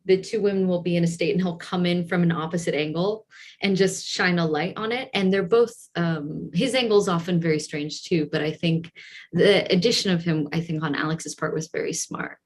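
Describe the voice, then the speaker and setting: A woman with a slightly low voice talks as a theatre director on a panel. She is reflective and feels her way thoughtfully toward each point. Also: fairly even intonation, fairly quick. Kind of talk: reflective panel discussion answer.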